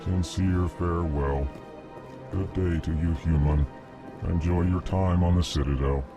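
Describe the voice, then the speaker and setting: A man voicing a huge, courteous alien ambassador in a video game, speaking in a formal monotone and flatly naming each feeling before he speaks. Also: low-pitched, measured pace, music in the background. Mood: polite, deadpan. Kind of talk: video game character dialogue.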